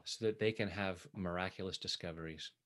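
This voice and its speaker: A man working as a tapping coach, leading guided affirmations in short, measured phrases meant to be repeated. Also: low-pitched, fairly even intonation, fairly bright tone.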